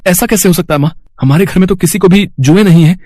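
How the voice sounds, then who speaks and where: A woman narrator puts on a young husband in a family tale. He talks very fast, puzzled and complaining, with an urgent edge. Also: fairly low pitch, mellow, no room sound, natural voice. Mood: alarmed.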